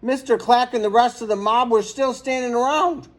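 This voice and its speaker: A man reading a children's story aloud in an expressive, dramatic storytelling manner. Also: very high-pitched, moderate pace. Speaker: storybook reader.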